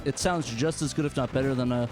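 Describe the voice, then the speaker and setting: A man's voice: medium pitch, fast, a little mellow. A man hosting a casual chat, enthusiastic and often stumbling over his phrases. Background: game music and sound effects.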